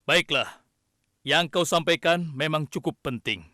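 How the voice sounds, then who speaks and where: A man voicing a stern, commanding prophet king in a children's cartoon, authoritative and deliberate. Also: fairly high pitch, no echo, studio quality. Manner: authoritative, deliberate, given to pondering hums. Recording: loud music behind the voice.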